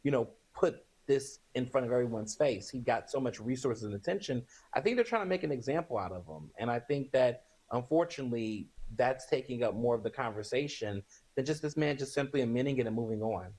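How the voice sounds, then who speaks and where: A man's voice: mid-range voice, expressive, fairly quick, a little mellow, low-quality recording. A man speaking as a guest commentator in a news interview, opinionated, emphatic and conversational.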